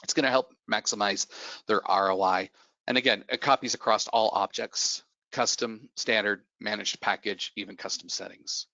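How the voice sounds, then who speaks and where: A man getting over a cold talks through a product demo, his voice a little raspy and his lower-pitched tone pushed and bright. He fills gaps with "uh" and "you know." The sound is dry and a bit dull, like a video-call mic. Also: fairly even intonation, normal speed, no room sound. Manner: explanatory, many fillers and restarts. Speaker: software presenter. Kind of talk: product presentation on a webinar.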